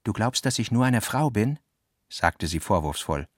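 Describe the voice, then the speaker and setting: A man playing a literary narrator in a radio drama, measured and articulate, acting out the dialogue he quotes. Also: on the low side, lively intonation, dry recording, clean studio sound. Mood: observant. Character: literary male narrator recounting and quoting his guest's talk.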